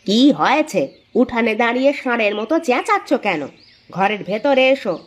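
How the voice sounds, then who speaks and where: A woman voicing a suspicious village wife with a soft heart in a cartoon, in a dramatic manner. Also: a little high, animated, moderate pace. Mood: scolding, suspicious. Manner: sharp-tongued, questioning, dramatic. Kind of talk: animated cartoon voice acting in Bengali moral story.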